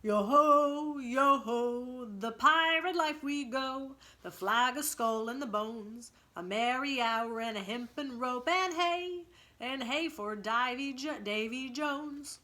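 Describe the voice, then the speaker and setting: A woman's voice: sits high, slow, sharp tone, voice pushed up, full character voice. A woman voicing a crew of rowdy, menacing male pirates chanting a sea shanty in a storybook reading. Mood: rowdy, grim.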